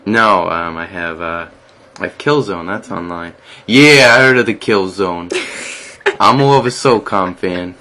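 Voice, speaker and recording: A man's voice: low voice, a little slow. A man with a Boston accent, co-hosting a casual podcast and telling jokey stories. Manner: joking, animated storytelling. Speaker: podcast co-host. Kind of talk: casual chat.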